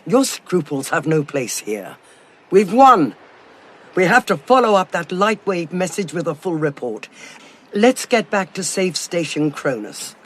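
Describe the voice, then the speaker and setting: A woman's voice: fairly low pitch, very animated, a little slow. A woman playing a sceptical, hard-headed soldier, questioning and insistent, in a sci-fi audio drama. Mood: defiant.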